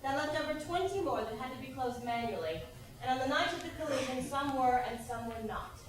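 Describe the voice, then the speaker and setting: Actress as accusing woman, high voice, sarcastic, theatrical, stage play, distant mic, heavy echo. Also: lively intonation, a little fast. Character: sarcastic, accusing female interrogator spirit. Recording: very echoey stage, distant microphone.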